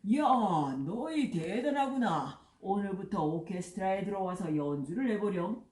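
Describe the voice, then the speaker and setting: Storybook goat teacher, voiced by a woman: encouraging, projected, mellow tone in a roomy space. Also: mid-range voice, expressive, normal speed, close to an everyday voice. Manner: clear projected instructing, encouraging. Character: encouraging goat teacher, conductor of forest animal children. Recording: roomy echo, faint background noise.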